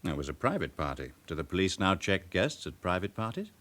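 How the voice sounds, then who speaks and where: A man's voice: low-pitched, sing-song, brisk, fairly bright tone. An actor playing a respectable businessman with a past in a radio drama, speaking formally, with controlled and faintly haughty pride. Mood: guarded, courteous. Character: smooth respectable businessman with a past, guardedly proud. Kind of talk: naturalistic radio drama acting.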